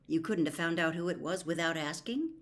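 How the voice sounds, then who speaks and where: A woman's voice: lowish voice, fairly quick, close to an everyday voice. A woman voicing an earnest teenage schoolboy in a storybook, asking blunt, insistent questions. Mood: frustrated, dry.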